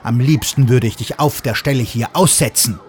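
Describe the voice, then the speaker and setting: Storybook figure: an indignant schoolgirl who scolds readily, lively pitch, voiced by a male narrator. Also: slight background noise, natural voice. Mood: scolding. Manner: scolding, quick to take offense.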